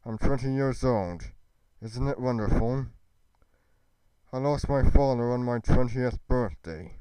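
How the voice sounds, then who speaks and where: A man playing an earnest young fantasy adventurer in an amateur roleplay, with a solemn, deliberate delivery. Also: medium pitch, warm tone, smooth, no echo, natural voice, low-quality recording. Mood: anguished.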